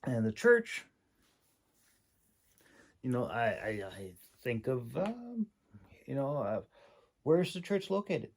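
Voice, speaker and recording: A man giving an informal, unscripted critique in a halting, hesitant way, with many pauses and repeated words. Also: medium pitch, sing-song, breathy edge. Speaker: audiobook narrator and manuscript critic.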